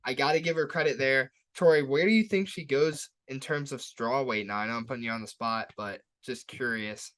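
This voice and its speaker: A man's voice: medium pitch, brisk, few pauses, a bit bright. A man co-hosting a podcast, chatting casually about upcoming fights in a hyped, emphatic way that leans on repetition. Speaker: podcast co-host. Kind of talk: casual sports podcast chat, fight preview.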